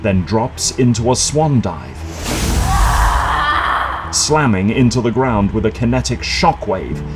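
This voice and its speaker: A man reading scripted dramatic narration for an audio drama in a measured, suspenseful manner. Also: on the low side, animated, loud music behind the voice.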